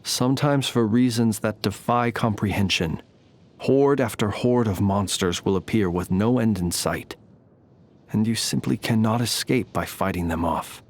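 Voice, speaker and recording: Courtly, enigmatic knight, eloquent and measured. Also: male voice, fairly low pitch. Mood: grave, cautioning. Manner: formal, eloquent, measured, old-fashioned gentlemanly speech. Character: courtly, humble, enigmatic male lamp-bearing knight of old. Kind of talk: scripted game character voice lines.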